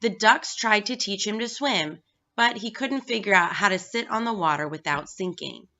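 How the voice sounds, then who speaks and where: A woman's voice: mid-range voice, moderate pace, sharp tone. A woman reading a children's story aloud as scripted narration. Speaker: staff reader at a school. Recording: dull, lo-fi recording.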